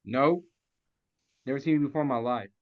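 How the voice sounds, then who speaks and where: A man's voice: mid-range voice, fairly quick, narrow, tinny audio, lightly acted. A man reading the part of a hot-headed, profane action-movie hero in a comedy table read. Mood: cocky, defiant.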